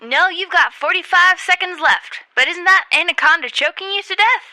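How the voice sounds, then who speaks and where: A woman acts a dramatic field reporter in a comedy radio play. The voice is extremely high and piercing, announcing earnestly in a very dry sound. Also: normal speed, sharp tone, dry recording, full character voice. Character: earnest female field news reporter at a polling place. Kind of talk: mock live news report in radio comedy.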